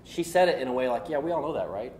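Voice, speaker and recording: An American man in his forties chatting as co-host of a panel show in a hesitant, halting way. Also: mid-range voice, lively intonation, a little mellow. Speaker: co-host of a geek culture show. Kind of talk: casual panel chat. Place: indoor room set, seated around a table. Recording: roomy sound, faint noise.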